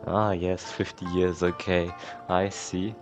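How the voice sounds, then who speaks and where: A teenage male gamer with a very low voice chats through his playthrough. He sounds offhand and teasing, muttering asides, with faint music in the background. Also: flat delivery, takes it slowly. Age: teens, about 17. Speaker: gaming streamer. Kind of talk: casual game playthrough commentary.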